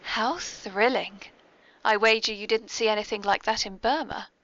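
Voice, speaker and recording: A woman's voice: mid-range voice, normal speed, a bit bright, lightly acted. A woman with a British accent playing a genteel, mischievous lady mastermind, hosting a scripted comic podcast in a prim, arch, formal manner. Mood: arch, amused. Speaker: podcast host. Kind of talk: scripted comic audio drama. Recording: dull lo-fi podcast recording.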